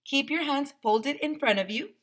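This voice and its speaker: A woman voicing a gushing, theatrical female fashion photographer with drawn-out, effusive exclamations for a children's story. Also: sits high, pitched above the performer's own voice, close to an everyday voice. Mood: gushing, bossy.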